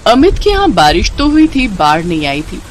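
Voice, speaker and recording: Woman acting an earnest, expressive young daughter, lively pitch. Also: moderate pace, a little hiss or hum, poor audio. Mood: reassuring. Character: young daughter, worried yet trusting, loving. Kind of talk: dramatised moral story acting.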